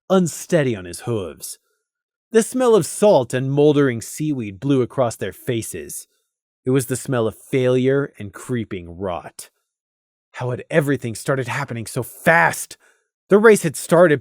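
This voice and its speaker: A man reading a scripted story as a narrator, deliberate and expressive, with dramatic emphasis. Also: medium pitch, dry recording, clean studio sound. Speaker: audiobook narrator.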